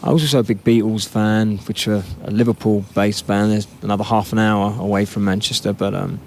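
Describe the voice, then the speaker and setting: A man's voice: lowish voice, flat delivery, fairly quick, a little mellow, slight background noise. A man from England, a musician answering interview questions in a laid-back, reflective way. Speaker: musician guest.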